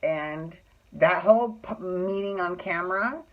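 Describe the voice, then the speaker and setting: A man's voice: high-pitched, measured pace. A man telling a personal story casually, with a sassy, emphatic manner that slips into acted-out dialogue. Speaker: drag performer telling stories. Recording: thin phone-like sound.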